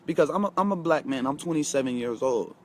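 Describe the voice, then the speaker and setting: A man's voice: fairly high pitch, expressive, quick pace, slight background noise. A man in his twenties giving a casual motivational talk, emphatic, repeating himself and speaking straight to his viewers. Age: 20s. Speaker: motivational vlogger.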